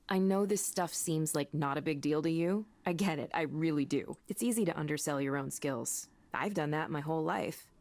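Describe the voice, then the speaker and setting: An actress playing an earnest, friendly young coworker in a visual novel game, talking in a casual, emphatic, encouraging way. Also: female voice, mid-range voice, creaky. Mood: insistent, supportive. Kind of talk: video game voice acting. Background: faint music.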